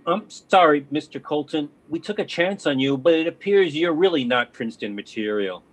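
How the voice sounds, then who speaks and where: Male, acting fifty-year-old academic, husky and unsentimental, very animated swings in pitch, frank stage dialogue. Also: moderate pace, rough recording. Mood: politely condescending. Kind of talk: stage play reading.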